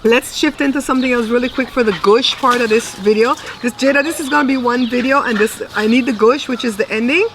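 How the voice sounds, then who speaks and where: A woman's voice: a little high, fast, hardly any pauses. Chatty middle-aged woman, noisy outdoor recording. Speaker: tarot reader and video host. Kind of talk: casual chatty tarot reading to camera.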